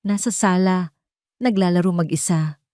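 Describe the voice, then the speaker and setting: A woman's voice: lowish voice, moderate pace, mellow, dry recording, studio quality, natural voice. A woman narrator playing a sweet, affectionate wife with tender speech in an audio story. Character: sweet, affectionate wife greeting her husband.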